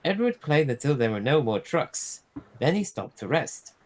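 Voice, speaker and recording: Male storyteller for kids' picture book, slightly high voice, very animated intonation. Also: normal speed. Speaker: storyteller, streamer. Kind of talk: animated children's storybook reading.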